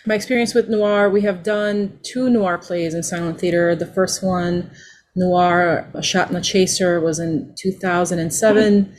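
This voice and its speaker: A woman's voice: lowish voice, flat delivery, measured pace, a little mellow. A woman from a theater company talking in a podcast panel discussion.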